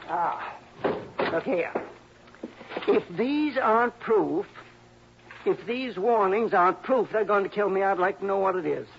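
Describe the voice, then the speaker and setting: An actor playing a cranky, suspicious old miser in a radio drama, querulous and blustering, with folksy grammar, on a muffled old broadcast. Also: male voice, sits high, a little slow, gravelly, pauses often, slight background noise. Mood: agitated, fearful. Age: older.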